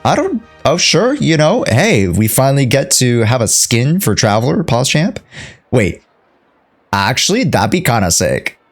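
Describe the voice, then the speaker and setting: A game streamer comments casually on a playthrough. The voice is animated and slangy, moving freely in pitch, with faint game music behind. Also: unhurried.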